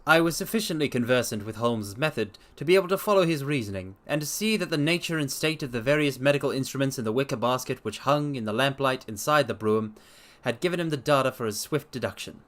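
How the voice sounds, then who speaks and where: Man, medium pitch, theatrical story reader, expressive, casual livestream asides. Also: quick pace, few pauses. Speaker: streamer and reader. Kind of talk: dramatic reading with casual asides.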